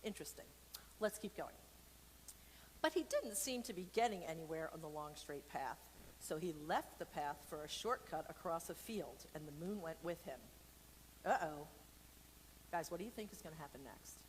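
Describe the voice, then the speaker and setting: A woman's voice: low voice, animated, normal speed, rough recording. A woman leading an informal children's storytime for a church, playful and extroverted, chatting in rambling, self-deprecating asides. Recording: noticeable background noise.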